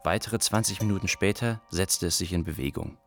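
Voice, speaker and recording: A man's voice: low voice, a little fast, faint music behind, natural voice. A man playing an observant, matter-of-fact young refugee who narrates a radio play.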